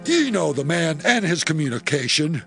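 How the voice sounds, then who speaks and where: An actor playing a bold army captain, theatrical and declamatory, in a dramatized scripture reading. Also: male voice, a little high, very animated, moderate pace, vocal fry, fairly bright tone, soft background music, full character voice. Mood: excited, proclaiming. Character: bold male army captain newly proclaimed king.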